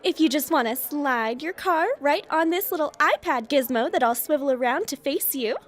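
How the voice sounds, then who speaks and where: A woman's voice: high-pitched, expressive, moderate pace. A woman playing a perky, over-friendly, passive-aggressive coffee shop barista in an audio comedy sketch. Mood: chirpy. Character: relentlessly chirpy, guilt-tripping coffee shop barista.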